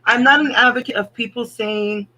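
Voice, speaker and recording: A guest on a livestream talk show, giving measured, considered opinions in a casual conversation. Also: mid-range voice, fairly even intonation, sharp tone, rough recording.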